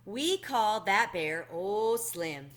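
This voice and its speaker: A woman's voice: mid-range voice, expressive, extremely slow. A woman voicing a kindly older male park ranger in a storybook, folksy, casual and dismissive. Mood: amused, folksy.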